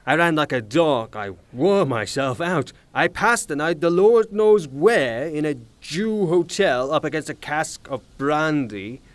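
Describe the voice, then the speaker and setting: An actor playing a blustering, irascible retired officer and landowner in a theatrical audio drama, with formal manners and emphatic, exasperated delivery. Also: male voice, on the high side, expressive, a little slow, few pauses, no room sound. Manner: emphatic, formal address breaking into exasperated outbursts.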